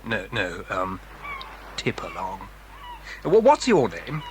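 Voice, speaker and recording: A man's voice: low-pitched, wildly swooping pitch, slow pace, full character voice. A man playing a flustered, prim stranger who loves birds, stammering in a British accent for a children's audio drama. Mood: nervous, polite. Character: flustered, fussy male bird-lover stranger, stammering and easily alarmed. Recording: faintly noisy old cassette recording.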